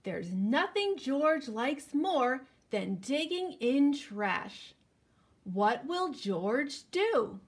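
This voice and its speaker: Lady doing kids story time, slow and really sing-song up and down, slightly husky. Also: sits high. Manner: animated, addressing young children directly. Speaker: children's librarian reading at story time. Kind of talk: expressive picture-book read-aloud for children. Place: indoors, living room at home.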